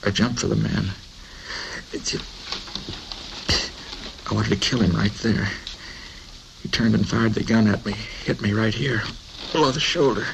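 A shaken, wounded young man in an old radio crime drama. His low voice is creaky and halting, pained and bitter, on a faintly noisy vintage recording. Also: steady tone. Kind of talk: 1950s radio drama acting.

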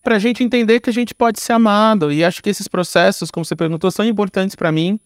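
A man's voice: high voice, fast, dry recording, studio quality. A man with a Brazilian Portuguese accent talking casually in an interview about his creative work, in an informal, slangy, run-on way with frequent tag questions. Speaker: podcast guest, audio storyteller and creator.

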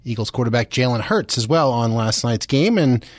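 A man's voice: medium pitch, lively intonation, moderate pace, no echo, studio quality. A man hosting a radio show, giving sports and weather updates in a conversational way. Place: radio studio.

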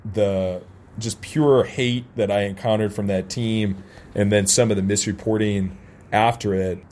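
An American man from St. Louis, a sports announcer, talking candidly and casually as an interview guest. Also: deep voice. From St. Louis, United States. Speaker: interview guest, soccer radio play-by-play announcer. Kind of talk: casual interview, personal anecdotes. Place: radio booth at a stadium. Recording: clearly audible background ambience.

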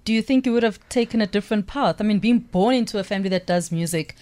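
A woman's voice: mid-range voice, fast talker, darkish tone. A woman hosting a radio interview, friendly and encouraging toward her guests. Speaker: radio host.

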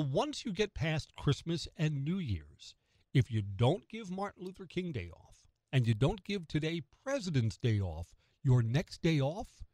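A man's voice: on the high side, darkish tone, dry recording. An American man hosting morning talk radio, delivering a conversational opinion monologue and putting rhetorical questions straight to the listener. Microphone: close studio radio microphone.

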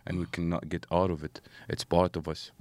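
A musician with an Arabic accent, a man who speaks halting German and English in a casual interview, searching for his words. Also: low-pitched, flat delivery, darkish tone, no echo. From Syria. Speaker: musician guest.

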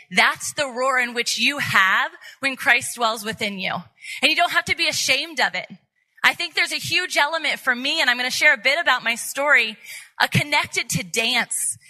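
A woman's voice: high-pitched, pushed and bright, rough recording. A woman conference speaker giving an earnest, exhorting faith talk, repeating phrases for emphasis. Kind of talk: motivational faith talk to an audience.

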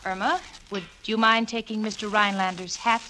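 A woman's voice: medium pitch, fairly even intonation, brisk, pushed and bright, natural voice. An actress playing an exasperated, sardonic young working woman in a 1940s radio sitcom, wry and fretful. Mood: strained politeness. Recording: noisy old 1940s radio recording.

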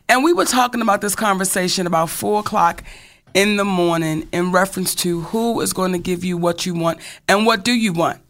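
A woman co-hosting a talk show, speaking in an expressive, singsong way. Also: medium pitch. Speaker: co-host.

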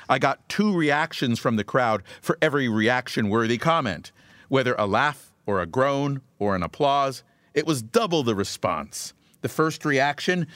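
A man preaching a scripted devotional message in a measured, emphatic way, leaning on rhythmic repetition. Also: mid-range voice, fairly bright tone, dry recording.

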